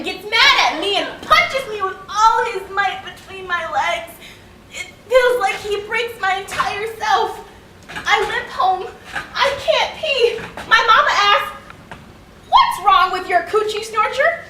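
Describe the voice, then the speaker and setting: A woman's voice: extremely high pitch, sharp tone, full character voice. A woman performing a theatrical monologue as a wounded, frank young girl, with a childlike, dramatic, emphatic delivery. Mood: hurt, upset. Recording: echoey room, distant mic, faint noise.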